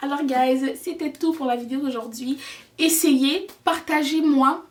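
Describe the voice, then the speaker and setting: A woman's voice: sits high, steady tone, unhurried, recorded from a distance. A young woman giving earnest, chatty life advice in a vlog, slipping in English words. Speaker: vlogger.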